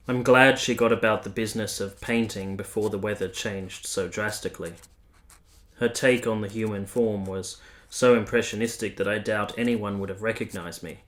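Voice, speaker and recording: A man playing a devoted army captain in a period radio play, speaking in a measured, articulate, reflective way. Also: on the low side, natural voice. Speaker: narrator and lead character. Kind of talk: radio play acting with reflective scripted narration.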